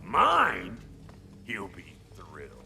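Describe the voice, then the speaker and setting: A man's voice: on the low side, huge pitch swings, extremely slow, vocal fry, bright, forceful tone, slight background noise, heavily acted. A man voicing a brash, foul-mouthed dwarf blacksmith in a video game, folksy and blunt. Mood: sardonic welcome. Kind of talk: animated video game acting.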